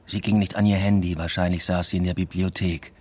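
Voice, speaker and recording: A man playing an introspective, uneasy academic who narrates his own story in a measured, subdued radio drama style. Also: low-pitched, little pitch movement, darkish tone, slightly rough. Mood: brooding, reflective. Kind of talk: literary first-person radio drama narration.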